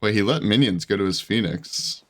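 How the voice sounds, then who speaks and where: Low-voiced, congested man commentating a game. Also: moderate pace, a little hiss or hum. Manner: slangy, rambling, self-deprecating, says dude and bro. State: congested, voice giving out. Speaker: gaming YouTuber. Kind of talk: casual gameplay commentary.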